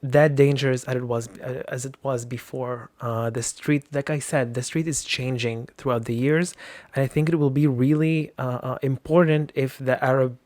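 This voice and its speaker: A man's voice: medium pitch, steady tone, moderate pace, few pauses, a little mellow, no room sound. A man from Lebanon, a podcast guest, speaking in a hesitant way with many fillers. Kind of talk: casual interview talk.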